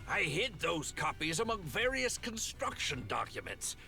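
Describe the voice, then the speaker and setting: A man's voice: a little high, sing-song, a little slow, gravelly, sharp tone, exaggerated voice. A man voicing a whiny, earnest, flustered figurehead boss in an anime-style video game, pleading and indignant in an over-dramatic way. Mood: desperate, indignant. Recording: music behind the voice. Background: background music.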